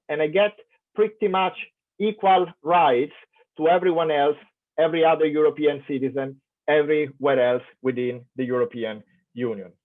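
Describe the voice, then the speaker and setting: A man from Italy with an Italian accent, giving a keynote lecture with lots of fillers and restarts. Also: on the high side. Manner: thoughtful, many fillers and restarts. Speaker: keynote speaker. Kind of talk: academic lecture. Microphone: online conference call. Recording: tinny phone-like audio.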